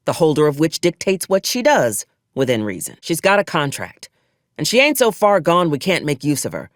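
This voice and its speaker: A stern military acquisitions officer from a video game, pragmatic and coolly menacing. The delivery is clipped and formal with a sardonic frontier turn, moving widely in pitch. The sound is dry, with no room around it. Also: dry recording. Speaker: video game character actor. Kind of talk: scripted video game dialogue.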